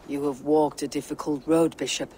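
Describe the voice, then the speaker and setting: A woman playing a hardened Viking warrior in a video game, with a low, gruff voice and a blunt, terse manner. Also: steady tone, normal speed, warm tone, a little hiss or hum, close to an everyday voice. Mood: resolute. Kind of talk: video game dialogue acting. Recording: clear outdoor ambience behind voice. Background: outdoor game ambience.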